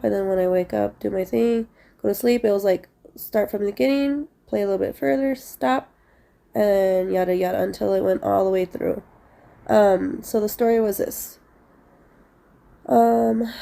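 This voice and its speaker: A woman's voice: medium pitch, vocal fry, mellow. A young woman with a stuffy, congested voice, casually telling a rambling story to her viewers with many fillers. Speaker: vlogger.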